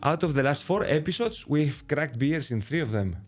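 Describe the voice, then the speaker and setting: A man hosting a podcast, chatting with his guest in a friendly, teasing way, with halting phrasing. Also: a little high, expressive, faint music behind. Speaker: podcast host. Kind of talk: casual interview chat.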